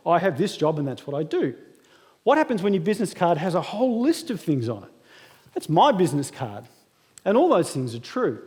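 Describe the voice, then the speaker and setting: A man in his thirties giving a funny, self-deprecating motivational speech at a graduation ceremony. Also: fairly high pitch. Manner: humorous self-deprecating storytelling. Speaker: guest speaker. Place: large hall at a graduation ceremony. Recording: echoey hall reverb.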